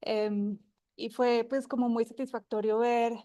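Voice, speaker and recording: Colombian woman, guest researcher, friendly talk with fillers, higher voice, dry close mic. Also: flat delivery, measured pace, dark tone, dry recording. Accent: Colombian, from Armenia (coffee region). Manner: friendly, with many fillers and restarts. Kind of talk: academic presentation to an audience. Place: university seminar room.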